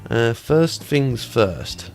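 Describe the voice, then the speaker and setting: A man's voice: medium pitch, expressive, extremely slow, vocal fry, darkish tone, faint music behind. A man with a head cold, chatting casually through gameplay as a let's play host, rambling with lots of ums.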